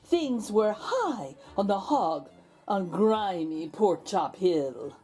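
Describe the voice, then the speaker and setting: A woman's voice: medium pitch, sing-song, slow pace, dark tone, slightly rough, soft background music. A woman narrating a children's picture book aloud as host, with theatrical, suspenseful emphasis. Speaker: storybook reader, host.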